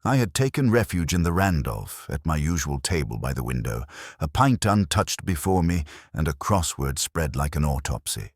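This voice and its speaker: Very deep brooding male mystery narrator. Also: very animated, dry recording, studio quality. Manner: brooding, literary, measured. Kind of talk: first-person detective mystery audio drama narration.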